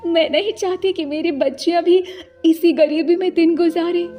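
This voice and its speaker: Woman voicing a high plaintive young mother bird. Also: fairly even intonation, moderate pace, warm tone, faint music behind. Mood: anxious resolve. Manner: plaintive, pleading. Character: distressed poor young mother bird, tearful and worried.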